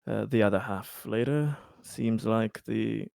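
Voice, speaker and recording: A man playing a tabletop roleplaying game, talking in character in a measured, halting, formal way. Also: fairly low pitch, vocal fry, a little mellow, no room sound, studio quality.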